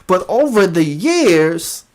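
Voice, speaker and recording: A man delivers mocking reaction commentary as a host. He takes his time, with an unhurried pace and big swings in pitch. He repeats phrases to hammer his points. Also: big pitch swings.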